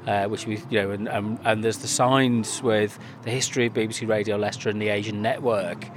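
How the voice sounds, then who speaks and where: A man's voice: fairly low pitch, steady tone, fairly quick, noisy background. A man talks about community media at an outdoor event. He is chatty and hesitant, with many fillers and restarts. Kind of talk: casual interview answers.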